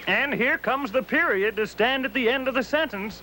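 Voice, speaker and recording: A man voicing a sharp little talking punctuation mark in a children's educational puppet show. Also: extremely high pitch, moderate pace, slight background noise, low-quality recording. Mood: proud.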